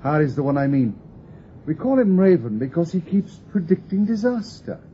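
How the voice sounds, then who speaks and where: An actor playing a glib, knowing city local with a British accent, slyly drawing out a stranger in a radio drama. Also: male voice, on the high side, very animated, moderate pace, warm tone. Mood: knowing, probing. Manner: glib, wry, conversational. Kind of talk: BBC radio drama acting. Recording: dull lo-fi radio recording with hiss.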